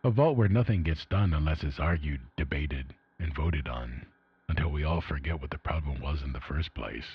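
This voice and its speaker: A man's voice: very deep voice, moderate pace, slightly rough, exaggerated voice. A man voicing a weary, exasperated vault resident reading his journal in a radio play, despairing and emphatic, through a retro AM radio filter. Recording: dull retro AM radio sound.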